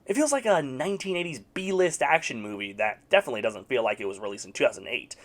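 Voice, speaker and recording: Male film reviewer, dry sarcastic humor, very animated intonation, medium pitch. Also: fast. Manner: wry and sarcastic, with mock-exasperated outbursts. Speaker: video game movie reviewer. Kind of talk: scripted casual review voice-over.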